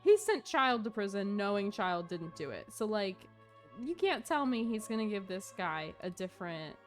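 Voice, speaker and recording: Female game streamer, mid-pitched, casual and opinionated, music playing underneath. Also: sing-song, moderate pace. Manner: slangy, opinionated. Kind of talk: casual live gameplay commentary.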